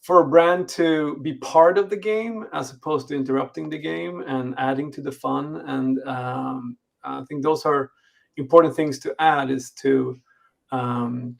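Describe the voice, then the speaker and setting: Gravelly man with a Swedish accent. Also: mid-range voice. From Sweden. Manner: hesitant, many fillers and restarts. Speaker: games company executive guest. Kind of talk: conversational interview answers. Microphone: video call.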